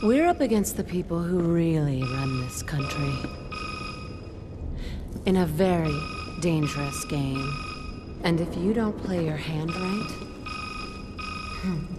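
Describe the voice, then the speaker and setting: An actress voicing a calm, secretive female spy in a video game cutscene, evasive and understated. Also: low-pitched, slow pace, long pauses. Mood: cool. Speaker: game character. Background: dramatic music.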